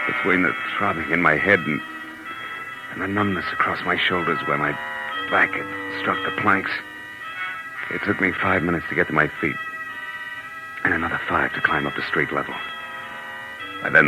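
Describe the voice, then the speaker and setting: Hard-boiled American private eye , played by a male actor: low, wry, slangy, vintage radio drama sound. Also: natural voice, rough recording. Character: tough, wry private detective, adult man. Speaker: lead actor and first-person narrator. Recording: old 1940s radio recording with music.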